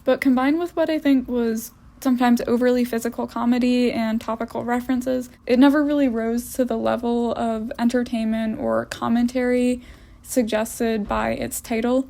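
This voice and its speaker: A woman's voice: fairly high pitch, steady tone, moderate pace, vocal fry, warm tone. A young woman giving a casual, wry voice-over review of films for her art vlog. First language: English. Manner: wry, opinionated.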